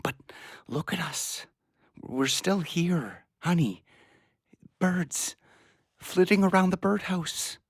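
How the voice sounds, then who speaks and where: A man playing a weary, pleading doctor and father in an audio play, speaking hesitantly and haltingly. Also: sits high, lively intonation, husky, no echo, studio quality, full character voice. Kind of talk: audio drama acting.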